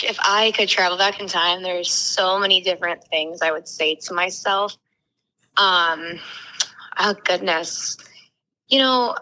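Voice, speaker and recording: A woman in her twenties from Florida, a podcast guest talking quickly, loudly and candidly, swearing freely. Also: mid-range voice, low-quality recording. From Florida, USA. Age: 20s. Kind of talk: casual interview talk.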